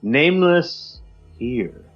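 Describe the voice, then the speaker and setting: Slow, lively man on a lo-fi remote line. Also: slightly rough, soft background music. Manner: playful, dramatic reading that drops low at line ends. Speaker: podcast co-host. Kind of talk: casual chat over a video call and dramatic poem reading. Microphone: remote call.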